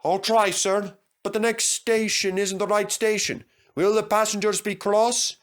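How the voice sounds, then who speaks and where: A man's voice: high-pitched, flat delivery, unhurried, a bit bright, voice pushed up. A man voicing a gallant old steam engine in a children's story, anxious but determined, with a breathless, straining manner.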